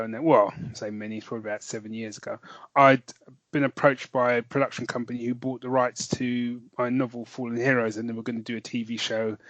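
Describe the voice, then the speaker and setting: A man's voice: medium pitch, no room sound, highs cut off. A man co-hosting a podcast in casual chat, rambling and correcting himself, laughing easily. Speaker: podcast co-host and novelist.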